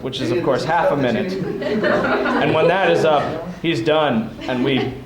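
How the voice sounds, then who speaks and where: Teenage male, higher voice, unhurried, mock-instructional improv, echoey room, very loud crowd. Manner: mock-instructional, simple step-by-step. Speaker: student helper with time cards. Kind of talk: improvised comic demonstration. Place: large room before an audience. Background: loud audience.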